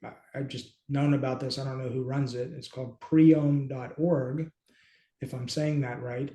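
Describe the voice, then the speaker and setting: A middle-aged man hosting a casual screen-share walkthrough, speaking haltingly as he thinks aloud. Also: medium pitch, little pitch movement, dark tone. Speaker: host and app company team member. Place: small indoor room, video call. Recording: roomy, echoey home room.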